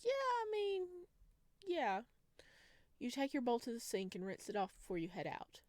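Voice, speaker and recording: A woman's voice: on the high side, huge pitch swings, normal speed, darkish tone, dry recording, studio quality. A woman doing a let's play, reading visual novel narration aloud and dropping in chatty, casual asides. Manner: chatty asides, reacts to the story. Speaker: video game streamer.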